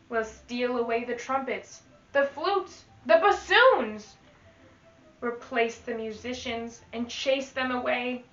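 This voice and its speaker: Woman, higher-pitched, very slow children's read-aloud, expressive, enunciated, instructional, echoey room. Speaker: teaching artist reading to children. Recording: echoey room, slightly dull sound.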